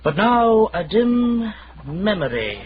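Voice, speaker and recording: An actor playing a wry poet narrator who recites verse about an old city in a halting, theatrical way. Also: male voice, high-pitched, sing-song, muffled recording, slight background noise, full character voice. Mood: declamatory. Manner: halting, self-correcting, declamatory.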